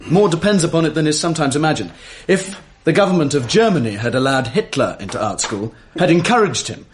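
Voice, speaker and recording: An arch, vain young debater. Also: male voice, on the high side, very animated, fast talker. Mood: orating. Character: vain, possessive young male Oxford debater. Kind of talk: 1980s radio drama acting.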